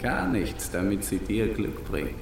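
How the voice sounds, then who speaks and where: A man's voice: lowish voice, wildly swooping pitch, a little slow, gravelly, voice pushed down, full character voice. A man plays a sinister aged trader in a literary audio drama. He coaxes slyly, with an uneven, wheedling delivery. Music is heard underneath. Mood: sly, coaxing. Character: sinister old male junk dealer, sly and wheedling.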